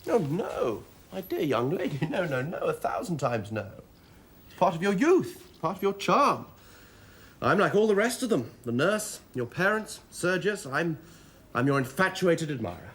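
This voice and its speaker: A man playing a pragmatic, gallant army captain in a stage comedy, speaking in a wry, gently teasing, matter-of-fact way. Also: on the high side, big pitch swings, moderate pace. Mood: reassuring. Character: dry, pragmatic soldier captain, amused and gallant.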